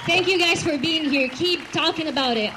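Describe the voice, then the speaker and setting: A woman's voice: high voice, normal speed, crowd noise, background noise. A young woman from Gaza speaking at an outdoor rally, telling personal stories earnestly with fillers and restarts. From Gaza, Palestine. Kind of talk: outdoor protest speech.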